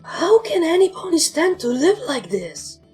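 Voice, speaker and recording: Woman voicing high, brash young pegasus pony. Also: big pitch swings, measured pace, darkish tone, faint music behind, exaggerated voice. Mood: appalled. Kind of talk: fan fiction character voice.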